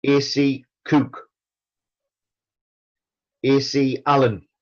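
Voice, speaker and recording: A man reads out a memorial roll call at an extremely slow, measured pace. His delivery is formal and solemn, with a pause after each entry. His pitch is average for a man, and the recording sounds slightly dull and lo-fi. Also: flat delivery. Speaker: veterans' branch chairman reading a roll call.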